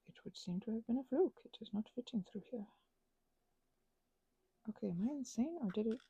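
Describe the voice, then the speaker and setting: A woman's voice: a little high, animated, brisk, warm tone, husky, no echo, rough recording. A woman chatting casually in an ASMR craft ramble, whimsically talking to herself and often drifting into whispers.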